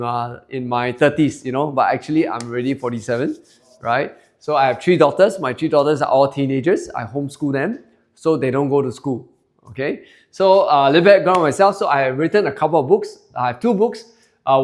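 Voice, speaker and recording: A man in his forties with a Singaporean English accent, giving a chatty sales presentation to a room of listeners and polling them with questions. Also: mid-range voice. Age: 40s. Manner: chatty, polls the crowd and tags lines with "okay?". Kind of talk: sales presentation to a live audience. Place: seminar room with an audience.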